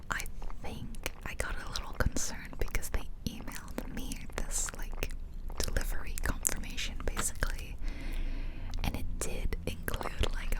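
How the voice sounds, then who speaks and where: Slow, whispering woman in ASMR style. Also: rough recording. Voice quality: soft, breathy whisper. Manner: chatty, confiding, unhurried. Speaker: ASMR video creator. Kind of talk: whispered ASMR casual storytelling. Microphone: close ear-to-ear ASMR microphone.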